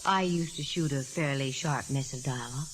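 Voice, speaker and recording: An actress playing a wry, self-assured, quick-witted female private eye in a 1940s radio drama. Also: very deep voice. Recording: vintage 1949 radio recording, hiss.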